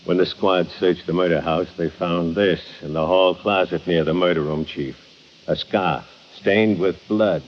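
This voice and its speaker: An actor playing a blunt, slangy, tough police sergeant sidekick in an old-time radio detective drama. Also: male voice, sits low, fairly even intonation, unhurried. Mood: dutiful. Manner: gruff slangy wisecracking. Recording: muffled, noisy old radio recording.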